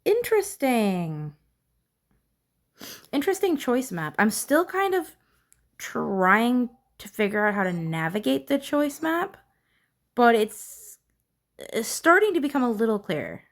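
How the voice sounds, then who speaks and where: Slow-paced woman musing through a game. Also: a little high, sing-song. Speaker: gamer narrating own playthrough. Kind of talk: casual gameplay commentary, thinking aloud.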